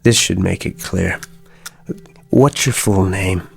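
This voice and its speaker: A man's voice: deep voice, takes it slowly, creaky, slightly rough, soft background music, full character voice. An actor with an American accent playing a slick, boastful, pushy man on the make in a radio drama, speaking with smug insistence. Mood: smooth, persuasive.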